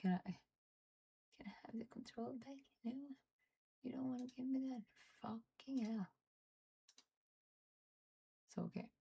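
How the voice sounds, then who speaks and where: A young Norwegian woman streaming live, chatting casually and muttering and swearing at her software as she struggles with it. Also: on the high side, a little slow, gravelly, pauses often, breathy edge. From Norway. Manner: frustrated, swearing, muttering under her breath. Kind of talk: casual livestream chat while fiddling with software.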